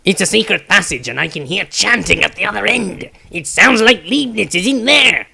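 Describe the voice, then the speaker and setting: A man's voice: sits high, expressive, normal speed, vocal fry, breathy edge, voice pushed up, heavily acted. A man reading a story aloud, voicing a smug, arrogant male halfling thief who talks sharply. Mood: snappish. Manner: sharp, snappish.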